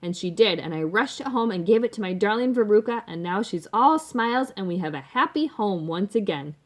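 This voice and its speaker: A woman's voice: medium pitch, lively intonation, a little fast, lightly acted. A woman voicing a boastful, doting rich businessman father in a storybook, emphatic and folksy. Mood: excited, doting.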